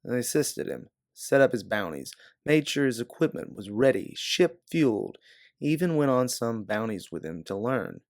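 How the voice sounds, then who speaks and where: A man's voice: medium pitch, sing-song, moderate pace, dry recording, clean studio sound. A man voicing a guarded, hard-bitten female bounty hunter in a science fiction audiobook. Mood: bitter, matter-of-fact. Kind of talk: audiobook character dialogue.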